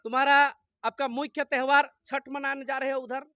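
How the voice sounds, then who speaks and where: A man's voice: very high voice, flat delivery, normal speed, sharp tone, dry recording, clean studio sound. A man with a Jharkhand accent, a farm worker being interviewed, making an impassioned political appeal in an insistent, emphatic way with repeated phrases.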